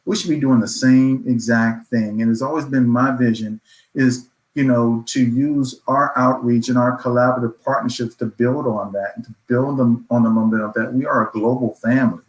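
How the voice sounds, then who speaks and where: A man's voice: medium pitch, steady tone, moderate pace. Earnest man in an echoey room. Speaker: organization leader speaking as a panelist. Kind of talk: conversational panel remarks. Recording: roomy, echoing sound.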